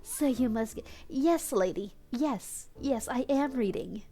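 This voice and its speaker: A woman's voice: a little high, animated, takes it slowly, mellow, soft background music. A woman livestreaming a read-aloud of fan fiction on a headset, playful and mock-dramatic, with amused asides. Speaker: streamer and host.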